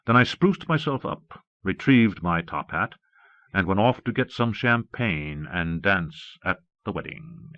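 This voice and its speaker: A man's voice: low voice, animated, unhurried, a bit bright, dry recording. A man reading a novel aloud as a scripted first-person audiobook narration. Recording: muffled old cassette audiobook.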